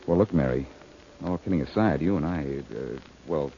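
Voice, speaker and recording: An actor playing a wry, confident amateur detective in a 1940s radio drama, self-assured and quick with a wisecrack. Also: male voice, extremely low pitch, mellow. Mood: serious, confiding. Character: wry, confident amateur sleuth, adult man. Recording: dull, noisy vintage radio recording.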